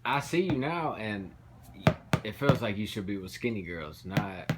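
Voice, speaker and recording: Through heavy noise and clicks comes a man with a lowish voice. He asks casual interview questions in an informal way. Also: very animated, a little slow. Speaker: off-mic questioner. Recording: loud background noise, clicks.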